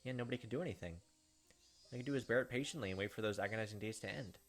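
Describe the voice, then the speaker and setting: A man's voice: on the low side, quick pace, a bit bright. A man hosting a gaming video, reading a visual novel aloud and adding casual commentary over game music. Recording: music behind the voice.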